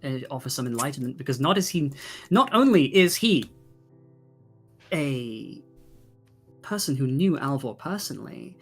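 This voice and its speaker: A man running a tabletop game casually, with halting, deliberate phrasing. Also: mid-range voice, sing-song, breathy edge. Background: faint background music.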